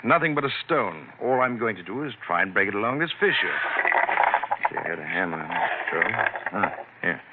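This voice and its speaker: A deep-voiced self-assured professor. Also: male voice, big pitch swings, a little fast, heavy background noise. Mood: lecturing. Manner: assured, lecturing, dismissive. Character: confident rational male professor, husband. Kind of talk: 1970s radio drama acting. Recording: tinny lo-fi old radio broadcast.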